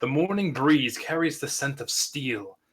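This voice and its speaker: A man voices a protective dragon with a bright, forceful voice of medium pitch. He is watchful and earnest, declaiming his lines in a fairy-tale script. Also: lively intonation, unhurried, husky. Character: watchful, protective male dragon guarding a princess. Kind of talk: screenplay table read.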